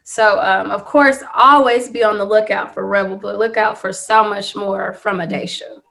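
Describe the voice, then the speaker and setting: A woman's voice: mid-range voice, steady tone, normal speed, vocal fry, pushed and bright. A woman, a guest business owner in a casual podcast interview, talking earnestly and repeating her key points for emphasis. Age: 20s.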